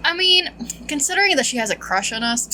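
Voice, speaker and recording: Bright-voiced woman commentating on a game. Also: high-pitched, a little hiss or hum, rough recording. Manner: skeptical, conversational, thinking aloud. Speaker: gaming streamer. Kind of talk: casual playthrough commentary. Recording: faint game music behind.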